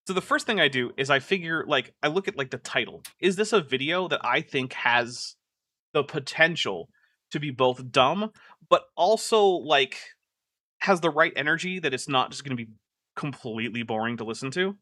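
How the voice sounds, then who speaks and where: A man's voice: medium pitch, lively intonation, moderate pace, sharp tone, no room sound, clean studio sound. A man hosting a live stream, reacting to a video in casual, exasperated commentary full of "like" fillers. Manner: chatty and exasperated, many "like" fillers.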